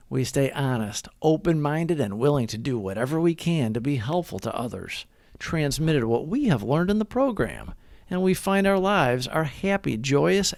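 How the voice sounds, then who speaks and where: A man reading a daily recovery meditation aloud, with an earnest, measured and prayerful delivery. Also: on the high side, no room sound, clean studio sound.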